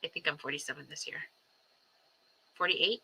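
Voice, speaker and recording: A woman in her forties talks in a casual, reflective livestream, circling back on herself with ums and small restarts. Her voice sits a little low but has a very bright, edgy sheen. She stays earnest and steady even when she speaks of grief. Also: normal speed, breathy edge, rough recording. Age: 40s. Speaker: livestream host.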